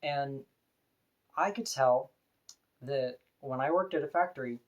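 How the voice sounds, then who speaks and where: A woman with a deep voice, talking to camera in an earnest, opinionated, plain-spoken personal vlog. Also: a little slow, muffled recording. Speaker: vlogger.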